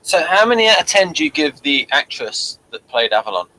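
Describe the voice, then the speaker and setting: Bright-voiced man hosting, faint background noise. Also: fairly high pitch, big pitch swings, fairly quick, low-quality recording. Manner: brief agreeing interjections, prompting questions. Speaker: podcast host asking questions. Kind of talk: casual review chat.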